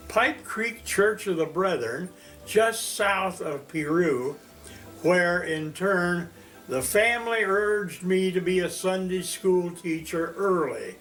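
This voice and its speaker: A man of one hundred from Indiana, recalling his life as a teacher in a slow, storytelling interview. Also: rough recording. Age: 100s. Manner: slow, storytelling, animated pitch swings. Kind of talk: reminiscing interview.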